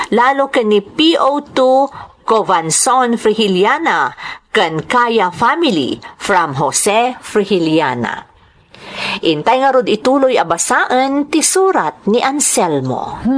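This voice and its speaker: A woman with an Ilocano accent hosting a drama program, reading greetings and letters in a measured announcer style. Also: mid-range voice, fairly bright tone.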